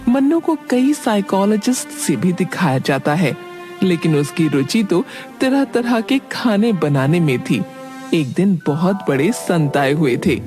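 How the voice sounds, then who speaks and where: A woman's voice: fairly low pitch, very animated, a little slow, dark tone, soft background music. A woman reading scripted narration for a cartoon story.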